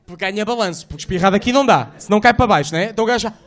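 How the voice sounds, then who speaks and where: A man doing a stand-up set in a small club, slangy and animated, acting out voices and sounds. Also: sits high, rapid-fire. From Portugal. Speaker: stand-up comedian. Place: small club stage, indoors. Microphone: handheld mic.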